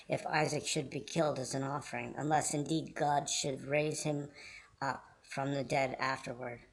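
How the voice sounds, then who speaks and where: A man reading scripted Bible storybook narration in a measured, earnest manner. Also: a little high, vocal fry, low-quality recording.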